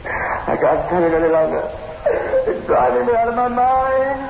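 An actor playing a fearful, trapped older husband in a theatrical, halting 1960s radio horror style. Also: male voice, very high voice, lively intonation, vocal fry, a little mellow, exaggerated voice. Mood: desperate, anxious. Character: trapped, panicking older husband narrating his ordeal. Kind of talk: 1960s radio horror acting, first-person narration. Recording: very noisy old 1960s radio recording.

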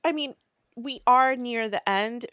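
A woman's voice: fairly high pitch, measured pace, a bit bright, no echo, clean studio sound. A woman chatting casually as a co-host on a podcast. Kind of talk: casual chat.

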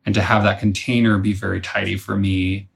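Earnest young man with a lowish voice. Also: a little fast, vocal fry. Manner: earnest, reflective, animated. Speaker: guest, comedian and muralist. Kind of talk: casual podcast conversation.

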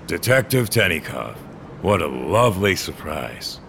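A very deep-voiced, bitter old loner who works as a courier in a grim sci-fi audio drama. He sounds brooding and world-weary, with a dry sardonic edge. Light vehicle and ambient noise sits behind him. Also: very animated, slow pace, creaky, fairly bright tone, exaggerated voice. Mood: sardonic, defiant. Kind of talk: first-person noir audio drama acting.

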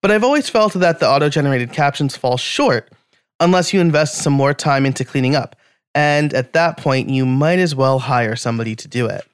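A man's voice: medium pitch, expressive, a little fast, clear, resonant tone, no echo, clean studio sound. A man hosting a podcast, asking interview questions in a friendly, conversational way. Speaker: podcast host.

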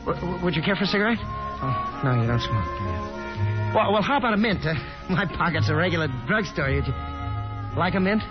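A man's voice: sits high, sing-song, normal speed. An actor playing an affable young working man with an American accent, stammering and eager to please in a 1950s radio drama. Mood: flustered. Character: affable, awkward young working man, earnest gentleman caller. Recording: muffled 1950s radio recording, music behind.

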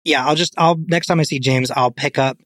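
A man's voice: on the high side, fairly even intonation, brisk, a bit bright, no room sound, studio quality. A man in a casual film discussion on a podcast, talking informally and peppering his sentences with "like". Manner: informal, hedging with frequent "like". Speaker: podcast co-host.

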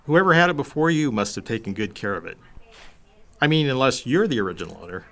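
An actor playing a friendly stereo repair man in an audio drama. He sounds folksy and easygoing, with a mid-pitched voice that rises and falls freely. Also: expressive, quick pace, fairly bright tone. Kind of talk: naturalistic audio drama acting.

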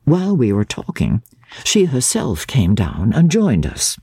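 A woman giving a formal, expressive and measured dramatic narration of a diary from a classic novel. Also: extremely low pitch, breathy edge, dry recording, clean studio sound. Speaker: audiobook narrator.

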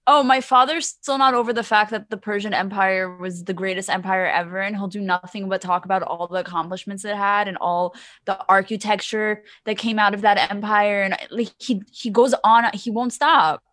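A woman telling stories in a casual conversation, animated and emphatic. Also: mid-range voice, normal speed, dry recording, clean studio sound.

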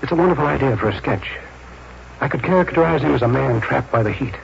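An actor playing a stubborn, uneasy painter husband, distracted and hesitant, in a 1940s radio drama. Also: male voice, medium pitch, animated, fast talker, gravelly. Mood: musing. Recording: muffled, noisy 1940s radio recording.

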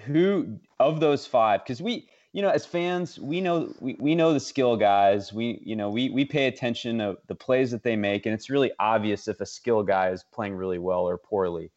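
A man's voice: mid-range voice, normal speed, few pauses, darkish tone, highs cut off. A man hosting a casual sports talk interview, easing into his questions in an informal, wordy way. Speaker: sports radio host, interviewer.

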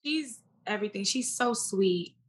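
A woman guest talking casually and informally in an interview, slangy and animated as she tells stories and quotes herself. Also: mid-range voice, unhurried. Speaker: podcast guest, actress and business owner.

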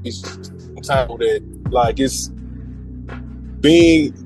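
A man in his thirties, a podcast guest, talking casually and reflectively, thinking aloud in slangy, halting phrases. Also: mid-range voice, darkish tone. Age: 30s. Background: music.

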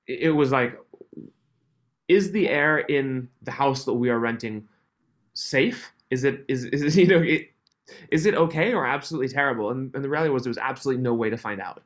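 A man in his thirties, raised in Switzerland, with a mixed, faintly Canadian accent, chatting as a podcast guest, friendly and quick to laugh. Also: medium pitch. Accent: mixed, Canadian-tinged. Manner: friendly, laughs often, restarts phrases. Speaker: podcast guest, company founder. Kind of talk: casual interview chat.

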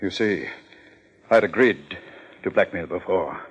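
A man's voice: deep voice, lively intonation, a little slow, breathy edge, heavily acted, rough recording. An actor playing a pompous contest sponsor with murder in his past, in formal 1950s radio drama diction. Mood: resigned, confessing.

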